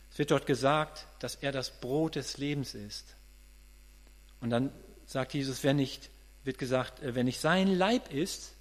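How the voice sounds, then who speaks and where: A man in his late fifties giving a conversational, earnest sermon, often restarting and repeating words as he thinks aloud. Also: fairly high pitch. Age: 50s. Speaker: preacher. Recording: reverberant hall with mains hum.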